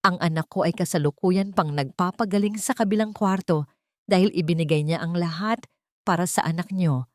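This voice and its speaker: A woman's voice: lowish voice, normal speed, a little mellow, dry recording, clean studio sound, close to an everyday voice. A woman voicing a cold, authoritative older mother-in-law. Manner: unhesitating, matter-of-fact, authoritative. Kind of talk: acted dialogue in melodrama narration.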